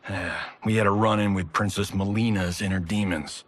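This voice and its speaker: A man's voice: lowish voice, fairly even intonation, normal speed, close to an everyday voice. Man as cocky, wisecracking action hero. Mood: casual, exasperated. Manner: flippant, slangy, breezy. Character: cocky, wisecracking movie star turned fighter.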